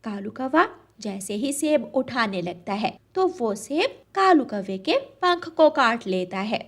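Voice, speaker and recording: A woman's voice: a little high, lively intonation, measured pace. A woman narrating a cartoon story from a script.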